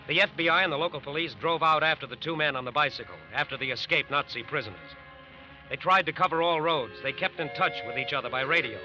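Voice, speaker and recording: Casting: earnest crime-show narrator, highish sharp voice, emphatic scripted delivery, vintage radio with faint music. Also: male voice, rough recording. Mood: tense storytelling. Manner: emphatic, deliberate repetition. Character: earnest, dramatic male narrator of FBI manhunt. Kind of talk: scripted narration, 1940s-50s radio drama.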